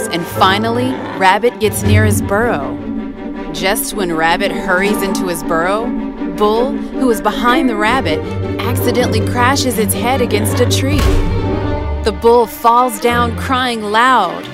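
A woman narrates a children's story in a clear, even way. Her voice sits in a middle pitch, and loud music plays behind her throughout.

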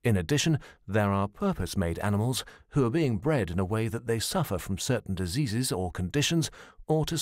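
A man reading scripted documentary narration in a measured, formal and serious way, as a studio voice-over. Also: lowish voice, a little mellow, no room sound.

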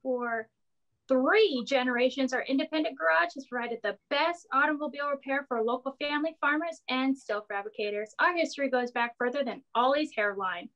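Actress as high-voiced folksy 1940s radio saleswoman. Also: brisk, a bit bright, highs cut off. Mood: folksy, chipper. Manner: folksy, wisecracking sales patter. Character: brassy, folksy 1940s garage and donut shop proprietor woman.

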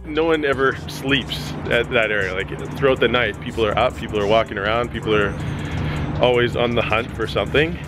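Brief: young guy, relaxed storyteller, outdoor camera mic, background music. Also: medium pitch, brisk. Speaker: vlogger. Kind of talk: casual recap to camera. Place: city streets outdoors.

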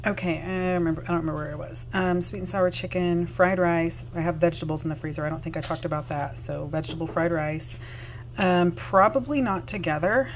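A chatty woman in her thirties with a Southern US drawl talks through her plans in a home kitchen, her voice a little low. She speaks into a phone held close, full of ums and quick self-corrections, with a faint hum of a running dishwasher behind her. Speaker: vlogger. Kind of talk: casual chat. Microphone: phone held close at arm's length.

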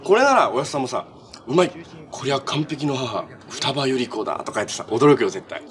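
An actor playing a cheerful, earnest young superhero in a live-action TV drama, polite and easygoing. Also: male voice, mid-range voice, sing-song, brisk, lightly acted. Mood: relaxed, chatty. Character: cheerful, earnest young male hero who fights monsters.

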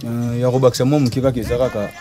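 A man's voice: medium pitch, little pitch movement, fast, over music. A man speaking earnestly outdoors, thanking viewers and giving advice about land. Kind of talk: interview statement.

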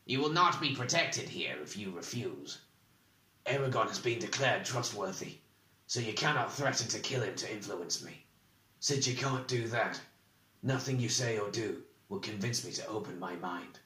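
Man acting a quiet, stony, defiant prisoner. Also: on the low side, expressive, measured pace, roomy sound, distant mic, poor audio. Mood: cold defiance. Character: stony, defiant young male prisoner, unyielding.

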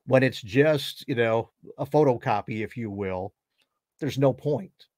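A man's voice: medium pitch, a little slow, darkish tone, dry recording, studio quality. A middle-aged man hosting an interview over a video call, mostly giving brief agreeing replies and short comments. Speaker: host. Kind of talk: casual interview.

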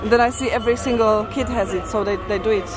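A woman's voice: fairly high pitch, steady tone, quick pace. A woman travel vlogger giving casual, enthusiastic walking-tour commentary outdoors. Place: outdoors on a crowded market street. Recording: outdoor street with heavy crowd and traffic. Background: busy crowd, vehicles.

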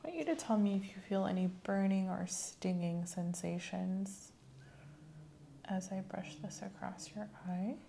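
A soft-spoken woman in an ASMR medical exam roleplay, giving gentle, measured instructions as the examiner. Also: mid-range voice, vocal fry, a little hiss or hum. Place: small indoor room set up as an exam room.

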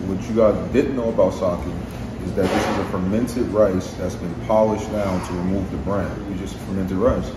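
A man's voice: deep voice, fairly even intonation, moderate pace. A man hosting a casual food vlog, laid-back and joking as he interviews a restaurant owner. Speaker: food vlogger host. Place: sushi restaurant. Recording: echoey room with background noise.

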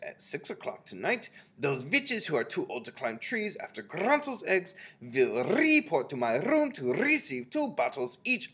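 Man putting on an imperious shouting witch leader. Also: mid-range voice, husky, voice pushed up. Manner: shouting, commanding, drawn-out menacing delivery. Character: imperious, furious female grand high witch, tyrannical leader.

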